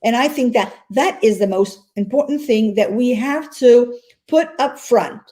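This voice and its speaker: A woman's voice: fairly high pitch, unhurried, dark tone. A woman from North Africa, an actress, speaking earnestly in a casual interview and often repeating words as she finds them.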